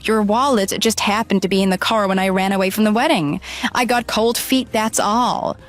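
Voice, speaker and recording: An actress playing a deceitful runaway bride in an audio drama, pleading, flustered and defensive. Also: female voice, mid-range voice, fairly even intonation, moderate pace, soft background music, close to an everyday voice. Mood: indignant. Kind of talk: dramatized phone dialogue.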